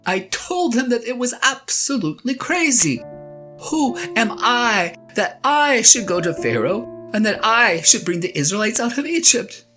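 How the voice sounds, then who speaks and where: A woman's voice: a little high, very animated, measured pace, pushed and bright, full character voice. A woman playing a flustered, self-doubting shepherd husband in an audio drama, stammering earnestly as the character confides in a spouse. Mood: flustered, disbelieving. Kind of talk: one-sided audio drama monologue, conversational and comic. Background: plucked guitar music.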